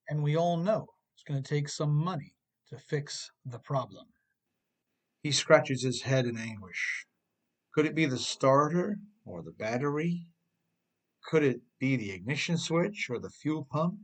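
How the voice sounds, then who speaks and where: A man's voice: medium pitch, husky. A man narrating his own self-help book in a wry, conversational way, often putting rhetorical questions straight to the listener. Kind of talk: audiobook narration with conversational asides.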